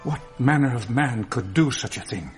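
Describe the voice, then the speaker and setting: An actor playing an anxious, pious and protective prior in a medieval radio drama, troubled and expressive. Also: male voice, mid-range voice, normal speed, faint music behind, close to an everyday voice. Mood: horrified.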